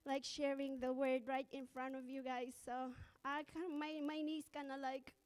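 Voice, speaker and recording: A woman's voice: sits high, level tone, moderate pace. A middle-aged woman pastor with a Filipino accent, whose first language is Ilocano, preaching a church sermon with nervous laughter and fervent prayer.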